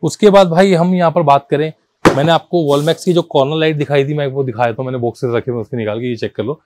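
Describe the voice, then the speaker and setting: A man gives a casual, persuasive sales pitch as a shop seller, often repeating himself and mixing languages informally. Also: quick pace, noisy background. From India. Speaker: car accessories shop seller and presenter.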